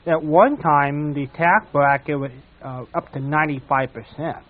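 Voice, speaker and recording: A man hosting a radio talk show, his voice a little on the high side, feeling his way through questions. He sounds tinny and narrow, like he is on a phone line. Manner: halting, rephrasing questions. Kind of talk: casual interview chat.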